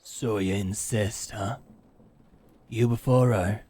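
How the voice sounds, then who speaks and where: A man's voice: lowish voice, steady tone, takes it slowly, warm tone. A man playing a crude, menacing leader of medieval hired killers, sneering and boastful in a fantasy audio drama. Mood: threatening. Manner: sneering, boastful, rough colloquial talk.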